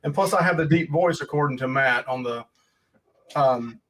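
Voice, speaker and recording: A man's voice: mid-range voice, moderate pace, fairly bright tone, poor audio. A man hosting a casual livestream podcast, folksy and joking as he rambles through his notes. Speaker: podcast host.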